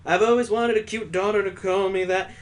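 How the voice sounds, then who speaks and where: A man's voice: high voice, steady tone, brisk, lightly acted. A man voicing a jovial, sentimental old father-in-law, hearty and earnest, in a visual novel read aloud. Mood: joking, affectionate.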